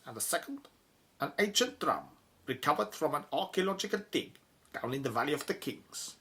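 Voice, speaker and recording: A man playing a courteous, smoothly formal Egyptian envoy in an audio drama, polite, measured and ceremonious. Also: fairly high pitch, big pitch swings, a bit bright.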